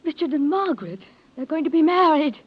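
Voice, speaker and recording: An actress playing an intense, scheming heiress in old-time radio melodrama, speaking in a theatrical, emphatic way. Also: female voice, high voice, animated, fairly quick. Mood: agitated pleading. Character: scheming heiress, outwardly pleading and sympathetic, intense. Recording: muffled vintage radio recording.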